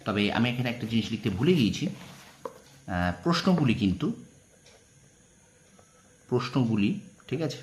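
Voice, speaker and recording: A man's voice: mid-range voice, big pitch swings, moderate pace, pauses often, a little hiss or hum. A man teaching an informal exam-prep lesson, instructive and emphatic, repeating points and often checking that students follow. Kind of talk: informal exam lesson, reading out questions and answers.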